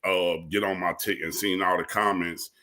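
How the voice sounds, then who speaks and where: A man's voice: deep voice, flat delivery, normal speed, sharp tone. A man with an American accent telling stories in a casual panel chat, acting out people's reactions as a guest. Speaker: actor, interview guest.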